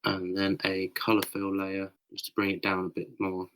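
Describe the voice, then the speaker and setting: A British man with a very deep voice talks through his photo editing steps in a casual podcast chat. He is a little croaky and fills pauses with "um" and "uh". He comes through over a voice call. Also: slight background noise, low-quality recording. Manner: explains edits step by step, relaxed with fillers. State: a bit croaky.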